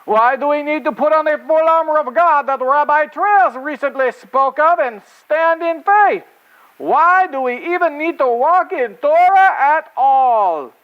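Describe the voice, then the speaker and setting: A man's voice: very high-pitched, animated, a little slow, muffled recording. A man doing a goofy rabbit reporter puppet. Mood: announcing. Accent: fake French, exaggerated. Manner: goofy, surfer-dude slang, showy. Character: laid-back old dude rabbit reporter puppet. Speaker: puppet show host.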